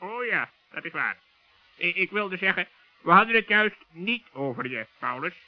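Very high-voiced blurting raven. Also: male voice, normal speed, no echo. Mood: flustered. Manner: blurting, excitable, suspicious. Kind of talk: children's radio play acting. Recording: dull, dry old radio recording.

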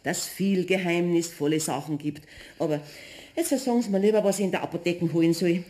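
A woman's voice: lowish voice, a little fast, warm tone, lightly acted. A woman playing a superstitious, bossy but kindly housewife in a German radio play, chatty and emphatic with a Bavarian accent. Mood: bustling, caring.

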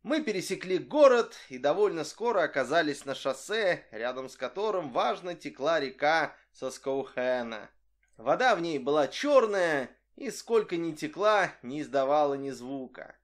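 A man reading an audiobook narration in a calm, even voice. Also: on the high side, normal speed. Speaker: audiobook reader.

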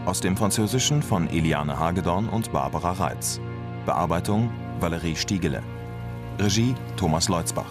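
A man's voice: low-pitched, moderate pace. A man reading scripted opening credits as the announcer of a radio drama. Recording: radio studio with clear music behind.

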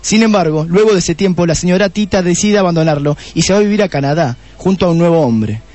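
Casting: male actor as cocky Argentine sleuth, high-pitched, self-satisfied, theatrical radio-play delivery. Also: darkish tone, no echo, close to an everyday voice. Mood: triumphant, explaining. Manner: self-satisfied, explaining with dramatic pauses. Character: cocky young male police detective, smug and theatrical. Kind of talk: comedic radio drama acting.

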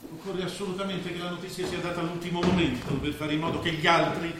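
Guy acting as slick cynical businessman in a stage play, highish voice, declamatory, super echoey hall. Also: flat delivery, lightly acted. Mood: calculating, cold. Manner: declamatory, rhetorical, with weighted pauses. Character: grandiose, scheming businessman, former artist, persuasive and cynical. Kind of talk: theatrical stage drama acting. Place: indoor stage of a theatre. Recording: very echoey theatre stage, faint noise.

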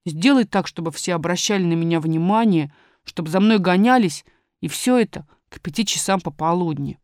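A woman's voice: on the low side, expressive, moderate pace, a little mellow, no echo, studio quality, voice pushed down, natural voice. A woman voicing a proud, vain little male kangaroo who pleads and demands, in a storybook reading. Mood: earnest, demanding. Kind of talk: storybook character voice.

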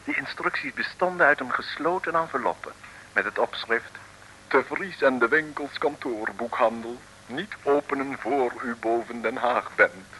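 An actor playing a wry, jovial Dutch storyteller, narrating an old comic radio serial. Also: male voice, mid-range voice, normal speed, pushed and bright, close to an everyday voice, poor audio. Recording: old radio recording with faint noise.

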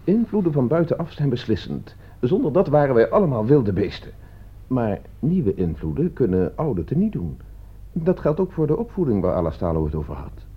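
Husky, urbane, animated debater. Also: male voice, mid-range voice, moderate pace. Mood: insistent. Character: male host of friends, courteous but stubborn debater. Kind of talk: Dutch radio play acting. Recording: dull old radio play recording.

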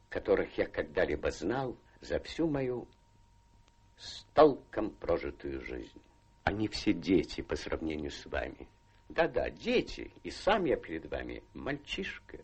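Lecherous old aristocrat, husky, low, swooping melody, courtly, shrewd, radio play. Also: male voice, heavily acted. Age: older. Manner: courtly, insinuating, savouring words. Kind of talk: Russian radio play acting.

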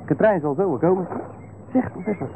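A boastful, impulsive young Dutch fellow in a radio comedy serial. His voice is rather high and very animated, on a tinny old recording. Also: fairly quick, background noise. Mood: breezy, cocky. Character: cocky, impulsive young male sidekick, boyishly boastful.

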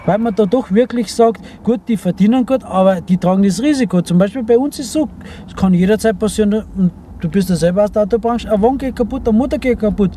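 A man talks casually about his views as a working driver. His voice sits high for a man, yet the tone is mellow and rounded. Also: quick pace, a little hiss or hum. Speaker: truck driver. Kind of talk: casual opinion in an original-sound radio collage.

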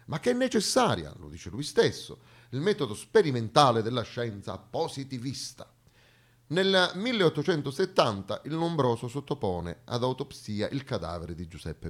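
A man performs satirical theatre. He delivers a mock lecture, breaking off for ironic asides to the audience. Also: mid-range voice. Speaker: stage performer, satirical monologist.

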